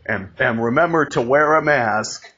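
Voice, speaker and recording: Slow, halting man reading an emergency alert. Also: mid-range voice, pushed and bright, slightly rough. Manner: halting, repeats and restarts phrases. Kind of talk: scripted emergency broadcast announcement. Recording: dull lo-fi audio, noticeable background noise.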